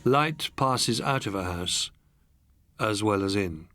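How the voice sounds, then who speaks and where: A man's voice: lowish voice, expressive, slow pace, fairly bright tone, faint music behind. A man voicing a resentful, controlled husband with a brooding, clipped manner for an audiobook story. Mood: gentle.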